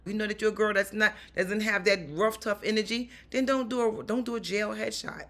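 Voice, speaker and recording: A woman with a raspy voice and a New York accent, an actor and stunt performer giving upbeat, animated career advice straight to her viewers. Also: medium pitch, brisk. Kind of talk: casual advice vlog.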